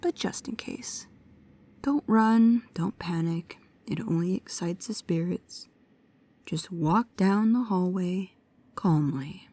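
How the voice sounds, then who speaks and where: An actress plays a bookish young female paranormal investigator in an immersive audio drama. Her voice sits a little low for a woman. She is precise and pedantic, with a nervous, hesitant earnestness. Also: takes it slowly, dark tone. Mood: hushed, uneasy. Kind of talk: immersive audio roleplay addressed to the listener.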